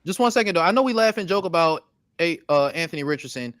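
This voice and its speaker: Male sports show moderator, casual and slangy, fairly high voice, dry sound. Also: lively intonation, fast talker, a bit bright, no echo. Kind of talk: casual joking sports chat.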